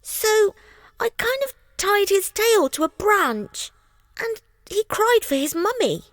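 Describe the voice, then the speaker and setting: Storybook monkey played by a woman: very high, squeaky, childlike, mischievous, swooping delivery at an unhurried pace. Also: sing-song, fairly bright tone, no echo, heavily acted. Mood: sheepish. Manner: squeaky, childlike, hesitant boasting. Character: cheeky mischievous young male monkey, self-justifying. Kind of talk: storybook character voice.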